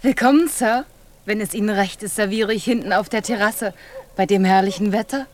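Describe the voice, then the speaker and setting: An actress playing a young, pert housemaid in a German radio crime drama, polite with a saucy edge. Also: female voice, medium pitch, brisk, close to an everyday voice. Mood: polite, welcoming.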